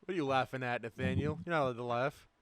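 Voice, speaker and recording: A man's voice: medium pitch, fast talker, a bit bright, smooth. A man in casual, jokey chat during a group table read.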